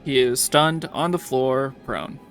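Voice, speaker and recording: A man with a gravelly, creaky voice runs a tabletop game at an unhurried pace. He narrates turns and outcomes informally and matter-of-factly. Music plays clearly behind him. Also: medium pitch, a bit bright. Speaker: game master.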